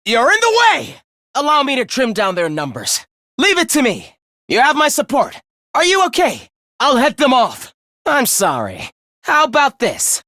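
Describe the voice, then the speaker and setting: An actor playing a polite, earnest young male fighter in a video game, with a youthful, slightly husky voice and formal phrasing. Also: very high voice, huge pitch swings, normal speed, bright, forceful tone, dry recording, clean studio sound, full character voice. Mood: determined, helpful. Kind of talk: video game battle lines.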